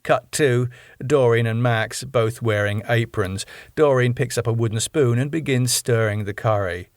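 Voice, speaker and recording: A British man reading the stage directions of his own sitcom script in a plain, measured way. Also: mid-range voice, dry recording, clean studio sound.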